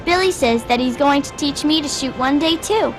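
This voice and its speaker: Game child played by a woman: high, guileless, chatty small kid, with clear music behind. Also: normal speed, natural voice. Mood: innocent, chatty. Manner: chatty, innocent. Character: friendly, guileless small child living in a wasteland town. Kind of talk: video game character acting.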